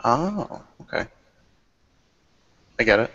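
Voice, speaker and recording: A man's voice: mid-range voice, big pitch swings, slow pace, fairly bright tone. A man in a tabletop roleplaying game who makes brief, dry in-character remarks. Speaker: tabletop player. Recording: muffled lo-fi recording.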